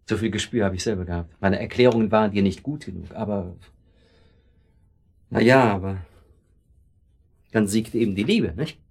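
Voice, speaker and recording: An actor in a German radio drama playing an evasive, husky-voiced husband who makes excuses to his suspicious wife. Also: lowish voice, moderate pace, pauses often, a little mellow. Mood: sardonic, amused.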